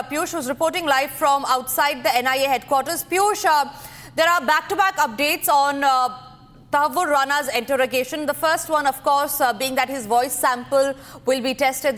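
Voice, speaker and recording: A woman's voice: high-pitched, fairly bright tone. A woman with an Indian English accent anchoring live breaking news in an urgent, repetitive way.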